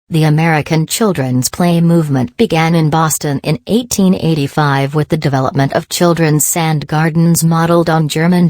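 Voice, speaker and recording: Low-pitched woman reading aloud. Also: a little mellow, no echo, clean studio sound. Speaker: exam practice reader. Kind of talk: scripted read-aloud of practice passages.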